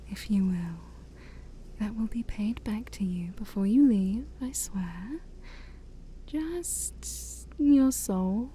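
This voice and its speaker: A woman playing a shy, scheming demon princess of a dark forest in an ASMR roleplay, soft, breathy and mostly whispered, with coaxing, formal speech and playful asides. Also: mid-range voice, a little hiss or hum, close to an everyday voice. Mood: sly, persuasive. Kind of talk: ASMR roleplay addressed to the listener.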